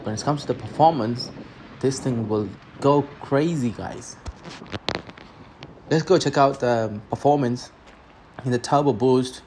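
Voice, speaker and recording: A man's voice: medium pitch, a little slow, pauses often, a little mellow, slight background noise, poor audio. A young man with an Indian accent talking up a car outdoors, eager and stumbling over repeated words. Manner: enthusiastic, repeats words as he talks. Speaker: car vlogger. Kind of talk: casual car review. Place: outdoors on a quiet road.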